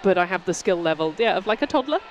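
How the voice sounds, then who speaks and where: A woman's voice: on the low side, lively intonation, fast, lo-fi sound. A woman co-commentating live on roller derby, chatty, friendly and self-deprecating. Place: sports venue.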